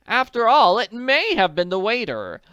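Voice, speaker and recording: A woman voicing an agitated, elegant middle-aged woman in a storybook, pleading in a breathless, drawn-out way. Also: fairly high pitch, big pitch swings, a bit bright, clean tone, no room sound, clean studio sound, pitched above the performer's own voice. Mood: distressed. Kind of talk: audiobook character voice.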